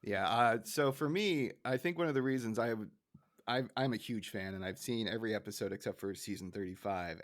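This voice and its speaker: A man's voice: fairly low pitch, no room sound, clean studio sound. A middle-aged man hosting a podcast in a casual, conversational discussion style. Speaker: podcast host.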